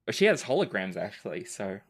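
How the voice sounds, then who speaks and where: A man hosting a podcast as game master, reading and answering listener questions in measured, deliberate phrasing. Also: lowish voice, sing-song.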